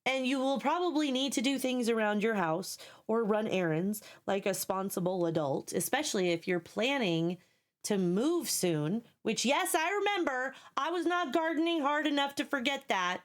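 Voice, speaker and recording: A woman's voice: fairly high pitch, lively intonation, normal speed. A young woman streamer chatting casually with viewers, blunt and sarcastic, swearing freely, her voice scratchy and sleepy.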